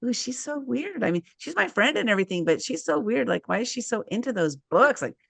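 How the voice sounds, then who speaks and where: A woman's voice: fairly high pitch, fairly quick, vocal fry, no room sound. A woman hosting a casual author chat, telling stories and acting out her own quotes. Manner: animated storyteller, acts out quotes. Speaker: host.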